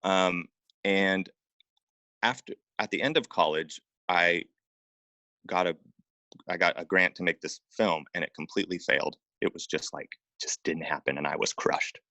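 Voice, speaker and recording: A man from Oregon speaking as an interview guest, telling personal stories casually in an informal, halting way with repeated phrases. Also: sits low, sharp tone, no echo, lo-fi sound. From Oregon, United States. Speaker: interview guest, acupuncturist and massage therapist.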